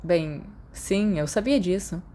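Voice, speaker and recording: A woman's voice: lowish voice, slow, dark tone. A woman voicing a guarded, anxious teenage schoolboy in an audiobook, blunt and sceptical. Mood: wary, sceptical. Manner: blunt, wary. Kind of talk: audiobook character dialogue.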